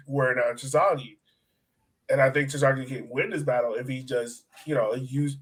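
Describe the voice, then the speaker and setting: Male podcast co-host, medium pitch, informal soccer talk, emphatic and repeating himself for stress. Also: fairly bright tone, rough recording. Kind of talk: casual sports discussion.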